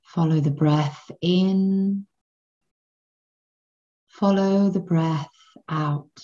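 A woman guiding a children's meditation in a gentle, soothing manner, in measured phrases with long pauses between them. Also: on the low side, steady tone, muffled recording. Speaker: meditation guide.

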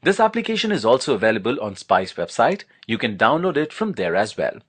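A man's voice: mid-range voice, very animated, brisk, fairly bright tone, slightly rough. A man narrating a scripted product demonstration, explaining things step by step in an instructional manner.

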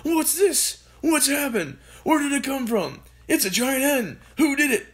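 A reader voicing a small, excitable farmer father who gets worked up and shouts his words. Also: mid-range voice, quick pace. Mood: frantic amazed. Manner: exclaiming, frantic.

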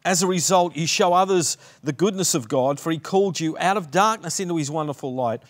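A man in his sixties with an Australian accent, preaching a sermon earnestly with many restarts from a dark studio set. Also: on the high side, brisk. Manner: earnest, gesturing, frequent restarts and repeated words. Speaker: pastor.

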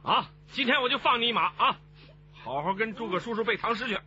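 A man's voice: very high-pitched, lively intonation, brisk, sharp tone, dull audio, faint music behind, exaggerated voice. An actor playing an exasperated, hot-tempered scolding father in a radio drama. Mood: grumbling.

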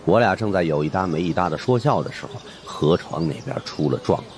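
A man's voice: low-pitched, lively intonation, fast talker. A man narrating a story as a dramatic scripted audiobook in the first person. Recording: faint background noise.

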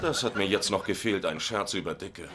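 An actor playing a hapless blue-collar husband working as a substitute teacher, blustering and exasperated in a dubbed sitcom. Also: male voice, low voice, fairly quick, close to an everyday voice. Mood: dejected. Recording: noisy sitcom soundtrack with clicks.

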